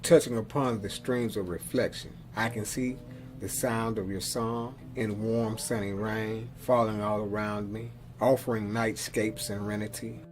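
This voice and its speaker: Man, poetry reader, somewhat low pitch, measured dramatic recitation, light guitar backing. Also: steady tone. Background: soft guitar music.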